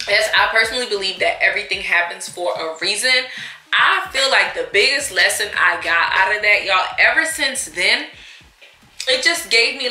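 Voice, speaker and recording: A young American woman chatting casually and emphatically, with a sassy, expressive manner, as in a vlog storytime. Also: mid-range voice. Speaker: vlogger. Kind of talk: casual storytime chat during a mukbang. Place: indoors in a small room at a table. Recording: echoey room.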